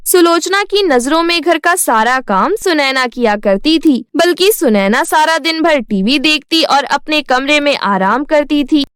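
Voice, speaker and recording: High-pitched woman narrating a story. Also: animated, unhurried, few pauses, a bit bright, no room sound, studio quality. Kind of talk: scripted cartoon story narration.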